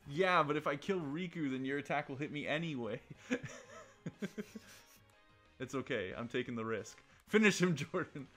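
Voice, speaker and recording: A young man with a bright, punchy voice chats casually while gaming. He is jokey and playful, and game music plays behind him. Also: on the high side, expressive, normal speed, pauses often. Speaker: host and player of an online game battle.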